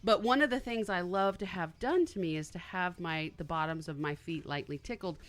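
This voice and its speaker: A woman's voice: fairly low pitch, brisk. A woman co-hosting a podcast, chatting casually and animatedly, laughing often and trailing off mid-sentence. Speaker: podcast co-host. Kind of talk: casual chat.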